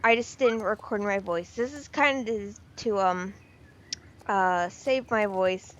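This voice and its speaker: A woman giving casual, excitable game commentary, rambling with fillers, her voice slightly off from the weather. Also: mid-range voice, takes it slowly, lo-fi sound. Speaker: game video creator.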